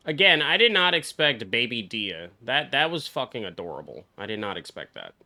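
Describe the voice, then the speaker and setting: A man giving casual live reactions as a game streamer, excitable and given to swearing. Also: mid-range voice, moderate pace.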